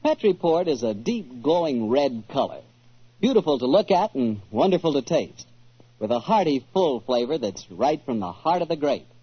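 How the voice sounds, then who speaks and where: An American man announcing in 1940s radio style, a polished, folksy pitchman with a husky voice. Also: sing-song, normal speed. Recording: muffled old radio recording.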